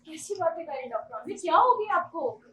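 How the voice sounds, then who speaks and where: Very high-pitched anxious young wife, acted by a woman. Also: animated, fast talker, pushed and bright, full character voice. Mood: concerned. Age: young adult. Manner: urgent, pleading. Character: anxious, pleading young wife and mother hiding a secret. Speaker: student stage actor. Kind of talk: amateur stage drama acting. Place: university seminar hall stage. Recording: echoey hall, distant microphone.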